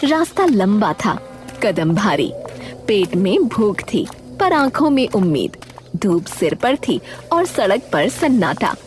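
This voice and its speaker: A woman delivering dramatic scripted narration for an animated story. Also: mid-range voice, slow pace. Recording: loud background music.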